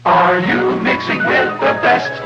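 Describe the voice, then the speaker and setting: A man performing a sung-and-spoken 1960s radio jingle. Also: unhurried. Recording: echoing, distant, dull vintage radio jingle. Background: loud music.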